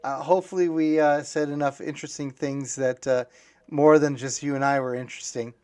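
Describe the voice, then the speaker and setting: A man hosting a casual conversational interview, musing aloud and speaking haltingly in fragments. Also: a little high, steady tone, a little mellow. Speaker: podcast host.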